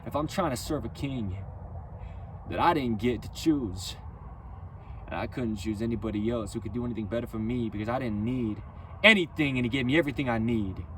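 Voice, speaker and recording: A man rapping a loose freestyle in rhyme, driving each line with preacher-like emphasis. His voice sits in a middle register and often pushes toward a near-yell. Faint traffic hums outdoors behind him. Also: medium pitch, fairly quick. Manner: rhythmic rhyming, preachy and emphatic; loud, near-yelling delivery. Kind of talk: spoken-word freestyle rap.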